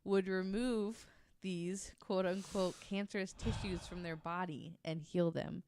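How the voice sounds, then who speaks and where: A woman's voice: fairly low pitch, fairly even intonation, measured pace, darkish tone, a little hiss or hum. A woman hosting a podcast, telling a nonfiction story in a casual, candid and self-deprecating way with some swearing.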